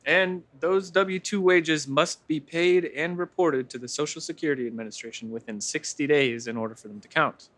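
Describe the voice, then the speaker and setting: Earnest by-the-book accountant sidekick, slightly high pitch, acted by a man. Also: normal speed, hardly any pauses, fairly bright tone, dry recording, lightly acted. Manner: precise, explanatory. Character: helpful male accountant sidekick, precise and earnest.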